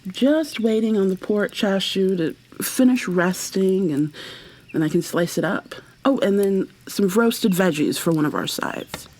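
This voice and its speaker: A woman playing a tender, possessive kidnapper in an ASMR roleplay, speaking softly and haltingly, often slipping into a breathy whisper as she coaxes. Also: medium pitch, close to an everyday voice. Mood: wistful, subdued. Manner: coaxing, gentle, halting. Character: tender, possessive female kidnapper doting on her captive lover.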